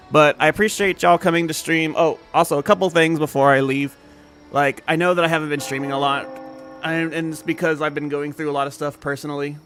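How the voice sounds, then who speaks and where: A man's voice: on the high side, a bit bright. A young man chatting casually to his stream audience, laid-back and profane, with many fillers and restarts. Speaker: game streamer.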